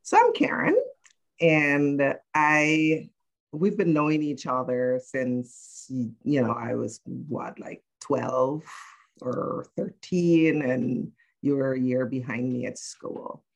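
A woman in her fifties from Guyana, a panel guest telling her life story in a measured, reflective way with frequent "uh" pauses. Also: very deep voice, creaky.

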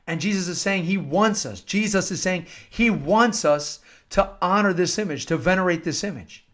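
High-voiced American man preaching earnestly. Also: moderate pace, a bit bright. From United States. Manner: earnest, emphatic, repeats phrases for emphasis. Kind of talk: informal devotional talk with scripture-like readings.

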